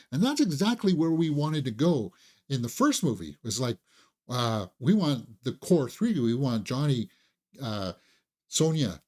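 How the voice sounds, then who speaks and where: A man's voice: fairly high pitch, darkish tone. A man giving a casual movie review as a film critic, talking conversationally like an enthusiastic fan.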